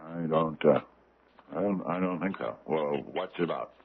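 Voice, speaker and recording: An actor playing a pompous, stammering older judge with a killer's streak, in old-time radio drama style. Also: male voice, a bass voice, moderate pace, gravelly. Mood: genial, evasive. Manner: stammering, blustering, theatrical. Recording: muffled, noisy old radio recording.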